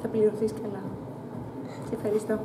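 A woman's voice: mid-range voice, flat delivery, echoey room, background noise. A young woman chatting playfully with friends at a guesthouse breakfast, teasing and mock-bossy. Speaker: vlogger. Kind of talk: playful casual chat among friends.

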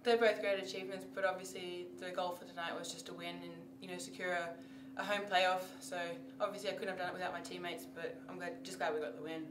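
A young woman basketball player answers post-game questions modestly and plainly. Her voice sits low and stays flat. The mic is some distance away in an echoey room with clear background noise. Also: fast, few pauses. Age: young adult. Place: indoor press backdrop.

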